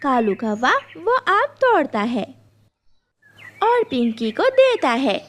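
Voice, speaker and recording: A woman's voice: high-pitched, very animated, slow pace. A woman narrating a scripted children's cartoon story.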